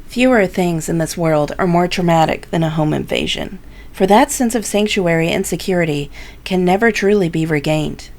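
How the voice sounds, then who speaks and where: A woman playing the composed host of an eerie tale anthology, giving scripted introductions in a measured, formal way. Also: fairly low pitch, darkish tone, lightly acted. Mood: composed, solemn.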